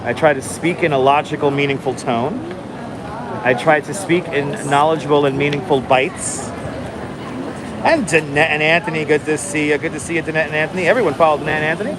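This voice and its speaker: A man hosting a casual live stream, chatting calmly and enunciating clearly while he waits in line outdoors. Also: fairly high pitch, fairly quick. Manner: calm, enunciated, chatty with viewers. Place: outdoors on a city street waiting in line. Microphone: phone held for a live stream. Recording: noisy outdoor phone stream. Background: city traffic and street noise.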